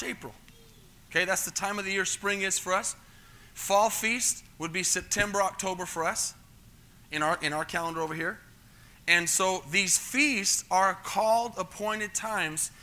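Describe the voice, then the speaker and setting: A middle-aged American pastor with a high, very bright and forceful voice. He preaches in a chatty teaching style, stumbling over repeated words and often asking the congregation whether they follow. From United States. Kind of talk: sermon.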